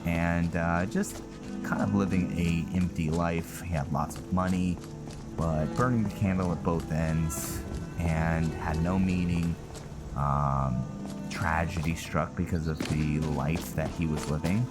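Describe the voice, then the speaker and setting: A man's voice: sits low, steady tone, a little slow. A man doing casual, rambling game commentary, thinking aloud hesitantly and in fragments. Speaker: gaming host narrating a roleplay playthrough. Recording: loud game music behind the voice. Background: video game music and sounds.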